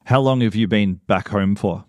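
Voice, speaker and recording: A man with a fairly low voice narrates and interviews in a measured, earnest way. The sound is dry and close, with no room around it. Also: no room sound. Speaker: podcast host.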